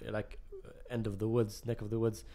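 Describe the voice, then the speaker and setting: A man with a West London accent hosting a casual podcast over a video call, chipping in with quick, chummy remarks. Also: fairly low pitch, monotone, warm tone. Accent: West London English.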